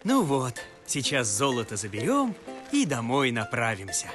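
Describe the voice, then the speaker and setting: An actor playing a young, earnest, simple-hearted folk hero in an animated film, speaking in solemn, old-fashioned folksy phrases. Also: male voice, medium pitch, moderate pace, over music. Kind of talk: animated film acting, folk-tale speech.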